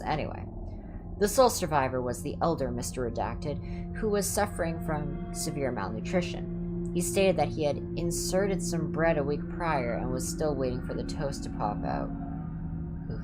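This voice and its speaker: Low-voiced woman reading horror, ASMR style. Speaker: streamer reading horror stories aloud. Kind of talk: ASMR reading of scripted horror entries with casual asides.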